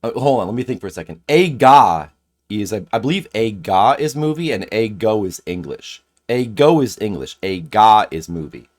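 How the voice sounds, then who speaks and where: A man's voice: fairly low pitch, expressive, moderate pace. A man streaming a game and chatting casually, thinking aloud in a rambling way and answering chat messages.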